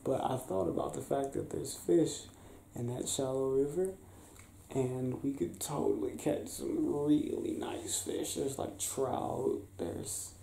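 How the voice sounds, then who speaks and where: Mellow, gravelly young man, soft and intimate. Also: mid-range voice. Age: young adult. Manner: soft-spoken, affectionate, halting and playful. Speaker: ASMR roleplayer. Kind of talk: ASMR boyfriend roleplay, improvised intimate chat. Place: small indoor bedroom, lying on a bed. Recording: roomy bedroom sound with faint hiss.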